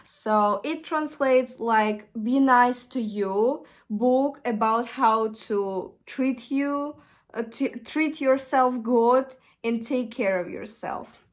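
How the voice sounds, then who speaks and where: Slow-talking young woman with Russian accent. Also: fairly high pitch. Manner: hesitant, searching for English words. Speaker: vlogger. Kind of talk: casual chat.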